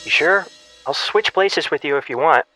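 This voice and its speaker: A woman playing a teasing, excitable ghost hunter in an audio drama, with a wry, animated way of talking. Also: deep voice, moderate pace, no echo, faint music behind. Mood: concerned. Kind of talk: audio drama acting, naturalistic dialogue.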